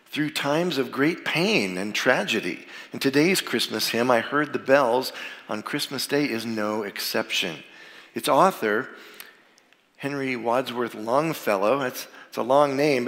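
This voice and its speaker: A man's voice: mid-range voice, fairly bright tone. Plainspoken male pastor, a bit froggy with a cold, preaching in a reverberant sanctuary. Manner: informal, plainspoken, with frequent fillers. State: getting over a cold, a little froggy. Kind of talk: sermon with prayer. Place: church sanctuary. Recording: long reverb of a large hall.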